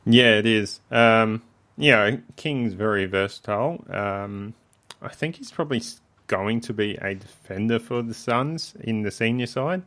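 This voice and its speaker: A man with a croaky voice, hosting a casual sports podcast in a relaxed way with frequent ums. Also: fairly low pitch, unhurried. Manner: relaxed, leads the segments, many ums. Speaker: podcast host.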